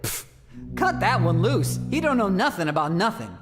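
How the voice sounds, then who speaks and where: A man's voice: high-pitched, sing-song, moderate pace. A male actor playing a jealous, lovesick murder suspect in a noir audio drama, wounded and defiant, talking in streetwise slang. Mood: bitter, defiant. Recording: long reverb, clear music underneath.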